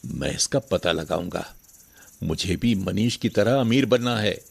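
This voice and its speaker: A man's voice: low-pitched, a little slow, gravelly, slightly rough, exaggerated voice. A man playing a humbled, envious family man in a moral storybook tale, speaking earnestly and pleadingly. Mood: scheming. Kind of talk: scripted moral storybook acting.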